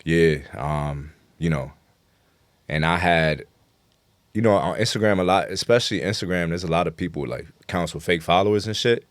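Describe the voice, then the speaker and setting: A man with a very low voice and a New York accent, recorded dry and close. He talks like a rapper being interviewed, loose and slangy, with plenty of casual swearing. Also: moderate pace, dry recording. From New York City. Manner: slangy, profanity-laced, frequent "you know". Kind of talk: casual podcast interview talk.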